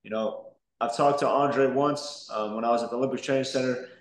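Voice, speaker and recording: A man's voice: mid-range voice, fairly even intonation, brisk. A young man, a professional boxer, giving earnest interview answers that stumble and repeat words often. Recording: roomy echo.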